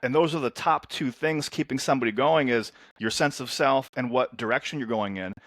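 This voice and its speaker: A man's voice: medium pitch, fairly quick, a bit bright, no echo, studio quality. A man from Lebanon who grew up in the States, a coach talking as a podcast guest in an upbeat, storytelling way that often doubles words as he starts a sentence. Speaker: men's transformation coach, guest. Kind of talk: casual interview talk.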